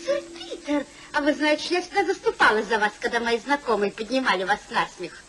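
An actress playing a coquettish, teasing and quarrelsome young wife of an older husband in a theatrical 1950s radio play. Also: female voice, on the high side, very animated, fairly quick. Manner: playful, laughing, coquettish delivery. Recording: 1950s radio recording with music behind.

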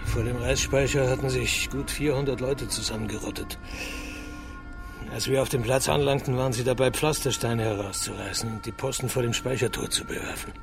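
An actor playing an exhausted, grim military officer of ancient China, reporting gravely in a radio drama. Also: male voice, mid-range voice, brisk, natural voice. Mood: grim, shaken. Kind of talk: radio crime drama acting. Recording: music behind the voice.

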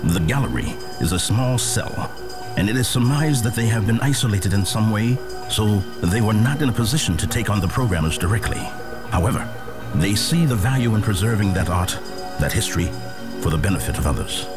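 A man giving scripted explanatory narration in a formal, deliberate manner. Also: lowish voice, expressive. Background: music.

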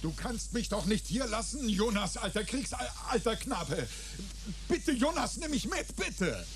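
A man playing a whining, cowardly, wheedling villain in a radio drama, shrill and nagging. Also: big pitch swings, a little slow, background noise, heavily acted. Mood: desperate begging.

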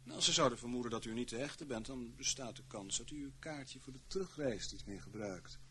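An actor in a radio play playing a dry, fussy middle-aged intelligence official who speaks formally, with small hesitations. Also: male voice, mid-range voice, a little fast, a bit bright, close to an everyday voice. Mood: businesslike. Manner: formal, hesitant, wryly bureaucratic. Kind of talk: radio drama acting. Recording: noisy old radio play recording.

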